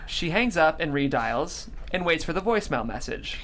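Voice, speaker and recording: Animated man reading stage directions. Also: on the high side, normal speed, slight background noise. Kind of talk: scripted narration at a table read.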